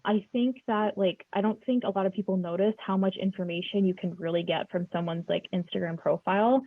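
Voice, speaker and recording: A young woman hosting a casual podcast chat, talking in a relaxed way with lots of fillers. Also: mid-range voice, fairly quick, no room sound, phone-quality sound. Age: young adult. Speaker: podcast host.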